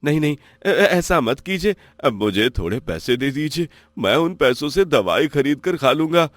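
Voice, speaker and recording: A man's voice: a little high, sing-song, normal speed, a bit bright, no room sound. A man playing an honest, poor village farmer who pleads and laments in a moral story. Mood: pleading.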